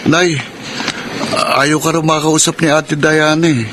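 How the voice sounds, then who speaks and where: Obedient high-school son played by a man, Filipino accent, lightly high pitch, respectful radio drama delivery, background noise. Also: level tone, unhurried, lightly acted. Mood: dutiful, reassuring. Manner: respectful, reassuring.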